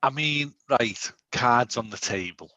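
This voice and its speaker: A man in his forties, co-hosting a podcast in casual chat, rambling and animated with lots of restarts. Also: medium pitch, slow pace, no echo. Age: 40s. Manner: rambling, animated, self-interrupting. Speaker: podcast co-host.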